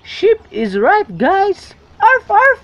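A woman voices an excitable puppet-show dog, loyal and yappy. The pitch is extremely high and swoops around, in a dull lo-fi recording. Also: slow pace, full character voice. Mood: eager.

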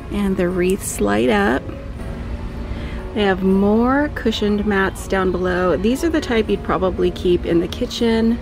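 Slow, gravelly woman listing store prices. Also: medium pitch. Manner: calm, listing prices item by item. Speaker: vlogger. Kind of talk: shop-with-me narration. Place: retail store aisle. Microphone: handheld phone camera.

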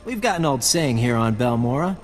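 An actor playing a flirtatious resistance fighter in a video game, easygoing, confident and wry. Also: male voice, medium pitch, animated, brisk, music in the background, natural voice. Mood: admiring, amused. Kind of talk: video game dialogue acting.

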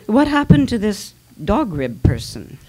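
A woman's voice: medium pitch, huge pitch swings, unhurried, dark tone. A woman playing a vain, blunt, ailing mother, theatrical and dismissive, in a staged dramatic reading. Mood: wry. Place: small dimly lit stage venue. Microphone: microphone on a stand.

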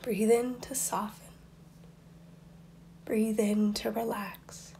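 A woman guiding a meditation in a soft, breathy, near-whispered voice, soothing and unhurried, with long pauses between instructions. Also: medium pitch, fairly even intonation, a little hiss or hum. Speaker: meditation guide. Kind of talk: guided meditation.

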